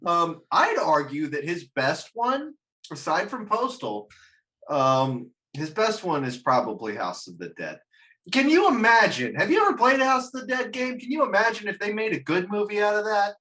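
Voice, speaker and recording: A man bantering casually on a podcast, excitable, sarcastic and sweary, often acting out imagined quotes. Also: sits high, normal speed. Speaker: podcast panelist.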